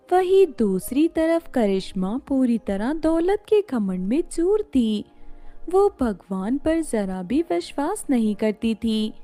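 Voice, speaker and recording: A woman's voice: on the high side, dark tone. A woman storyteller reading a scripted fairy-tale narration.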